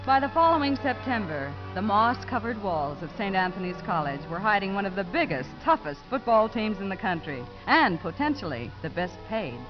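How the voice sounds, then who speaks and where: Actress as a composed, lively-pitched woman official. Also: normal speed, few pauses, music in the background, lightly acted. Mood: composed narrating. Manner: probing, reproving. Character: composed, pointed female child-welfare official. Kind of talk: 1950s radio drama acting. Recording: dull old radio recording.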